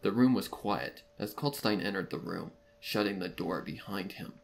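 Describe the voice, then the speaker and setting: A man reading scripted narration for a dark fan fiction mystery story. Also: low voice, normal speed, vocal fry, slight background noise. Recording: music under the voice.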